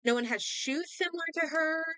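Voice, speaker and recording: A woman's voice: high-pitched, a little fast, bright, forceful tone, no room sound. Young woman with a sore throat. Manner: chatty, thinking aloud, trailing off. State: sore throat, losing her voice; hiccups. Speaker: gaming streamer. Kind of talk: casual commentary while playing a game.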